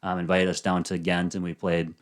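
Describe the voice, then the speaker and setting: A man in his thirties, a podcast guest chatting casually and softly, with frequent ums and uhs. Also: deep voice, completely flat delivery, brisk. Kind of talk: casual interview chat.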